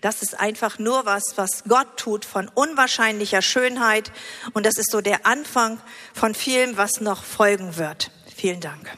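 Female conference preacher, forceful bright tone, enthusiastic delivery, long hall reverb. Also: medium pitch, unhurried. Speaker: conference speaker. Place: large congress hall, live on stage. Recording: hall reverb from stage sound system.

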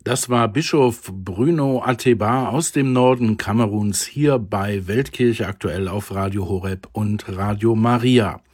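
A man hosting a German religious radio program, measured, formal and courteous. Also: fairly low pitch, darkish tone, breathy edge. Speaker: radio host. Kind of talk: radio interview and presenting.

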